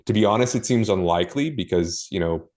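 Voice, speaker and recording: A man's voice: lowish voice, expressive, fairly quick, a little mellow. A man talking casually as a podcast guest, explaining his work thoughtfully with frequent fillers and self-corrections. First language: not Portuguese. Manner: thoughtful, many fillers and restarts. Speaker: guest, e-commerce marketer.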